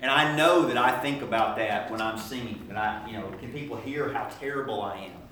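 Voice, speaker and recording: A middle-aged man preaches in a relaxed, conversational sermon, often starting over and filling pauses as he thinks aloud. His voice sits at an ordinary pitch. It reaches the mic from some distance and rings through a very reverberant church room, with a faint ambient hum underneath. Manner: conversational, halting, many restarts and fillers. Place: church sanctuary.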